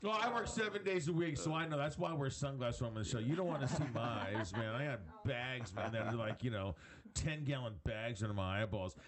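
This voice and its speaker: A man hosting a live radio talk show, chatting casually in a halting, jokey way with many restarts. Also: mid-range voice, lively intonation, a crowd in the background. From Mexico.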